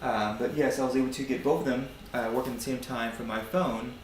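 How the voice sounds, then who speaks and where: An American man giving a casual DIY demonstration of a hobby project, speaking in a hesitant, halting, informal way. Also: medium pitch, steady tone, a little hiss or hum, poor audio. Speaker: hobbyist maker presenting a project.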